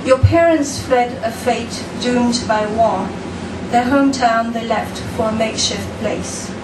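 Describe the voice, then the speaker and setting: A woman raised in France reads her poem slowly and deliberately in a dim room. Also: on the high side. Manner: slow, deliberate, measured reading. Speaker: poet. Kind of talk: poetry reading. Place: dim room with a lamp, indoors. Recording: echoey room, distant mic, background noise.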